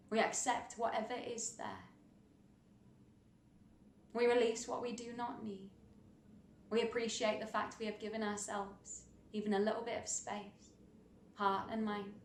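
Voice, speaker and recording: A woman's voice: fairly high pitch, slight background noise. Woman guiding meditation in a roomy space. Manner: gentle, soothing, unhurried instruction with long pauses. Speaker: meditation guide. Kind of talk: guided meditation. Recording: roomy, echoing sound.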